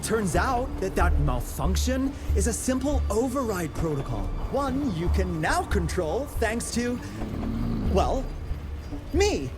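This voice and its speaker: An excitable young tinkerer who rambles eagerly. His voice is high and swings widely in pitch. Game music runs under him. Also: takes it slowly, full character voice. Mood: excited. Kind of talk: game cutscene voice acting.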